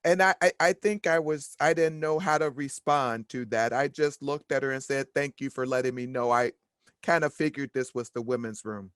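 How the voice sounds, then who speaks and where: Deep-voiced woman, halting speech. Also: steady tone, no room sound, clean studio sound. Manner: halting, repeats words. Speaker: workshop attendee. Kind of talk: sharing a personal story. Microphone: video call.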